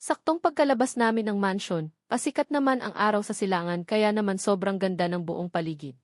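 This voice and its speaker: A woman's voice: mid-range voice, fairly quick, no echo, clean studio sound. A woman with a Filipino accent reading a first-person romance novel as an audiobook narrator.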